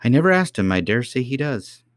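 A brisk, sceptical nursemaid, played by a man with a raised voice. She scolds and dismisses with dry sarcasm in a storybook reading, close and free of room echo. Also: medium pitch, sing-song, voice pushed up, close to an everyday voice. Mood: sarcastic. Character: brisk, sceptical, easily vexed woman nursemaid.

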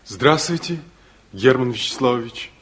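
A man's voice: medium pitch, lively intonation, normal speed, a bit bright, lightly acted. An actor playing a polite, uneasy young male student who answers briefly and evasively in a 1960s Soviet radio play. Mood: polite, guarded.

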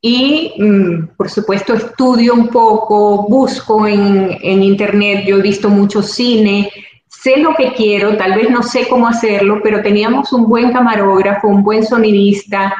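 A woman's voice: mid-range voice, steady tone, a little slow, darkish tone, lo-fi sound. A woman from Venezuela with a Venezuelan Spanish accent, an actress and producer giving thoughtful, earnest interview answers. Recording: very echoey room, faint background noise.

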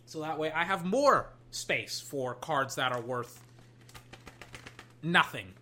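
A young adult, slightly hoarse, chatting casually while opening card packs at a desk, swinging between loud hype and low muttering and swearing freely. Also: expressive, slow, slight background noise. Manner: swears casually, trails off and restarts. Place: at a desk indoors.

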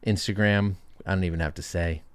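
A man's voice: low voice, fairly even intonation, brisk, clean tone, dry recording. A man in his thirties hosting a casual podcast with his co-host, teasing and sarcastic, swearing freely. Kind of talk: casual banter.

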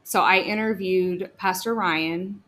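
A woman's voice: fairly low pitch, slow pace. Chatty podcast host woman, faint background noise. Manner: chatty and excitable, laughs easily. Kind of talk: casual podcast interview.

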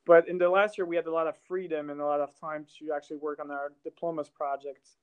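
A man's voice: fairly high pitch, little pitch movement, fast, smooth, narrow, tinny audio. A man from France in his thirties, a music producer talking casually in an interview, rambling with many fillers and restarts.

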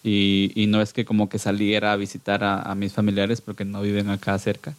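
A Guatemalan man in his thirties with a lowish voice, talking easily about his childhood and laughing between hesitant, restarted phrases. The sound is dry and close, with no room around it. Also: completely flat delivery, fairly quick, dry recording. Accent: Guatemalan Spanish. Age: 30s. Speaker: podcast guest, band singer. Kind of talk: casual interview chat.

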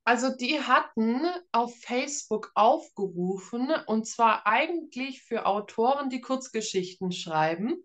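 A woman's voice: a little high, lively intonation, unhurried, hardly any pauses, a bit bright. A woman of about thirty from northern Germany, speaking casually and explaining things as an author being interviewed. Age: around 30. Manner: informal, explanatory.

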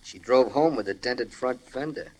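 An actor in an old radio crime drama playing a nosy household butler, insinuating in folksy working-class slang with hesitant pauses. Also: male voice, medium pitch, a little mellow, lightly acted, rough recording. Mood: slyly probing. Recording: old-time radio broadcast recording.

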